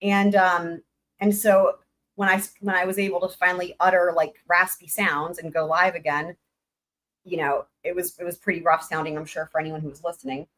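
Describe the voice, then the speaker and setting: A woman hosting a livestream in casual chat, rambling with many fillers and greeting viewers by name with upbeat shout-outs. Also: on the low side, flat delivery, moderate pace. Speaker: livestream host.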